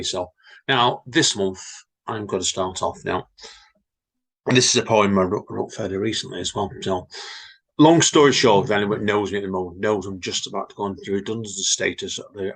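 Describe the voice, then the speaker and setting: A man co-hosting a story podcast, chatting casually and reading his own poem in a slow, reflective way. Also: fairly low pitch, a bit bright, husky, rough recording. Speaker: podcast co-host, poet. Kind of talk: casual chat and poetry reading.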